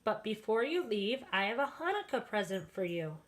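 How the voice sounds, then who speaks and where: A woman voicing a kindly old grandmother in a children's story, fond and gently scolding. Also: mid-range voice, very animated, moderate pace, natural voice. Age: older. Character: kindly, hospitable old grandmother, chuckling and fussing. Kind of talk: children's storybook read-aloud.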